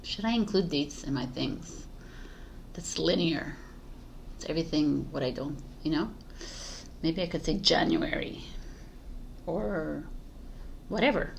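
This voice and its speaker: A woman's voice: sits low, measured pace, vocal fry, long pauses, a little hiss or hum. A woman tarot reader chatting casually to camera, laughing at herself, restarting often and losing her voice. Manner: giggly, scattered, restarts often. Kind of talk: casual spiritual talk to camera.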